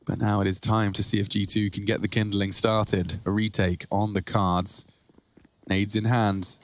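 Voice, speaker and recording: A man with a British accent giving lively play-by-play commentary on an esports match. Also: fairly low pitch, a little slow, a little hiss or hum.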